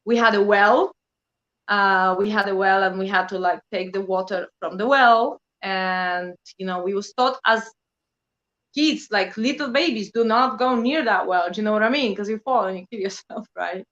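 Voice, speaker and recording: A woman's voice: medium pitch, normal speed, muffled recording. A woman in her thirties from Poland, with a Polish accent, chatting casually as a guest and telling stories with lots of fillers. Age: 30s. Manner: animated storytelling, many fillers like "you know". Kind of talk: casual chat.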